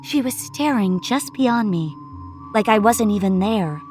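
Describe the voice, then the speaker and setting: A female storyteller reads scripted first-person fiction in a dramatic style. Her pitch sits in the middle range for a woman. A music score plays clearly behind the narration. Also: expressive, a little slow, darkish tone.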